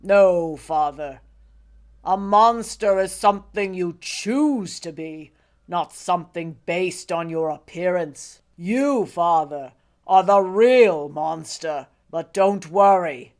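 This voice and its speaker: A woman voicing a lonely, wounded male creature made from the dead, calling out loudly and slowly in a storybook reading. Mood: sorrowful. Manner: loud, pleading, slow booming calls.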